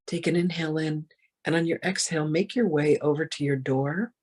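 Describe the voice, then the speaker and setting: Soft, breathy voice guiding a meditation. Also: mid-range voice. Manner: gentle, soothing, unhurried, with long pauses. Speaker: meditation guide. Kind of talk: guided meditation.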